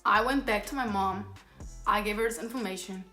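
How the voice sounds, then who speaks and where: A young woman whose first language is Somali rambles through a storytime, exasperated and correcting herself as she goes. Her voice sits at an ordinary middle pitch. It rings in a roomy space with faint music behind her. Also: fairly quick, sharp tone. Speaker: vlogger. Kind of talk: casual storytime.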